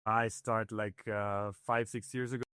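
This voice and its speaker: A man's voice: fairly low pitch, little pitch movement, unhurried, pushed and bright, smooth, dry recording, studio quality. A man from northern Italy, raised in Switzerland, whose first language is Italian, talking through a casual interview with many fillers and restarts. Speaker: ski builder guest.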